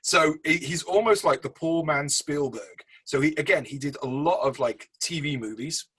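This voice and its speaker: Rambling matey man, husky voice. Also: fairly high pitch, moderate pace, fairly bright tone. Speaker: film review podcast host. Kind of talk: casual film review chat.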